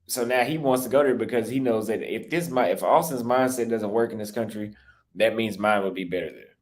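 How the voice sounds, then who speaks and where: A man's voice: fairly low pitch, fairly quick. A young American man livestreaming as a travel vlogger, talking casually and defensively in long rambling stretches with restarts, his voice a bit cracked. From United States. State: voice slightly cracked. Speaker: travel YouTuber live-streaming. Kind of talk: casual livestream talk reading super chats.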